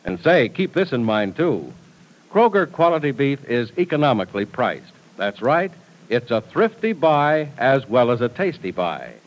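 Male old-time radio announcer: highly varied pitch, folksy, emphatic sponsor-pitch delivery. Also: sing-song, normal speed, low-quality recording. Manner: folksy, emphatic, theatrical salesmanship. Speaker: announcer and narrator.

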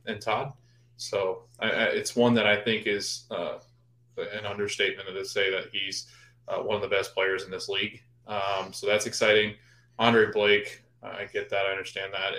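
A man's voice: lowish voice, fairly bright tone. A man giving casual, rambling soccer opinions as a podcast co-host, thinking aloud in an echoing room. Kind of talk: casual sports opinion chat. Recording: roomy, echoing sound.

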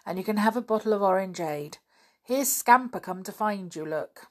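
A woman reads the part of a brisk mother in a children's storybook. She sounds firm and chiding, an exasperated parent keeping her child in order. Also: mid-range voice, lively intonation, close to an everyday voice. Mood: exasperated, fond. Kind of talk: children's storybook read aloud.

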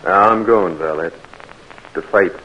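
An actor playing a tender, resolute young Southern soldier in a 1940s radio drama. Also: male voice, sits low, slow pace, sharp tone, exaggerated voice. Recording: muffled old radio recording.

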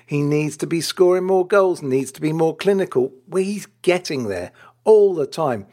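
A man co-hosting a football podcast, talking with chatty enthusiasm and frequent laughter. His voice sits fairly high for a man. He often stumbles over and repeats words as he works out his point. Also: lively intonation, moderate pace, dark tone. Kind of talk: casual chat.

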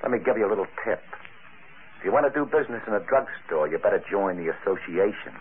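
An actor playing a menacing racketeer in an old radio crime drama, talking in tough, slangy threats. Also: male voice, on the low side, animated, quick pace, close to an everyday voice. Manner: tough, slangy, threatening wise-guy drawl. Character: menacing slangy gangland racketeer, adult man. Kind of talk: 1940s radio crime acting. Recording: tinny, noisy old radio recording.

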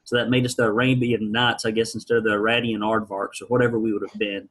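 A man's voice: fairly low pitch, little pitch movement, fast, a bit bright, slightly rough. A man from rural Alabama, telling his story as an interview guest with wry, folksy, blunt humor. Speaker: guest, business founder.

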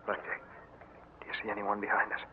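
An actor playing a nervous young office clerk who stammers and hesitates, in 1940s radio drama style. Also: male voice, fairly low pitch, monotone, fast talker, close to an everyday voice. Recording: narrow, hissy old radio recording.

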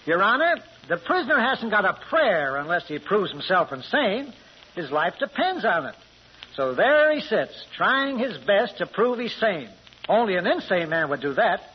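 An actor playing a blunt, excitable jury foreman with a folksy, emphatic delivery in a 1940s radio drama. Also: sits high, normal speed, breathy edge, heavily acted. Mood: excited, emphatic. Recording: muffled, hissy 1940s radio broadcast.

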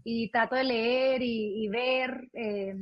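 A woman's voice: on the high side, takes it slowly, muffled recording. A young Mexican woman, a guest on a talk show, telling a story in a chatty way and acting out what people said to her. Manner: storytelling, animated with quoted voices. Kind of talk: casual interview chat.

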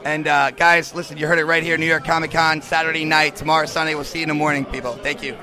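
A man's voice: fairly high pitch, flat delivery, fast, a crowd in the background. An American man doing a casual interview, encouraging and enthusiastic, jumping in with supportive remarks. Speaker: podcast interviewer. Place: busy fan convention. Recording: loud music behind the voice. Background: music.

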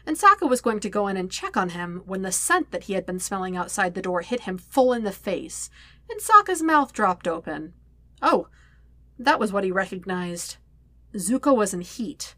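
A woman narrating fan fiction as a scripted story, with expressive, dramatic emphasis on key words. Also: medium pitch, no room sound. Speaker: podfic narrator, reading own fan fiction.

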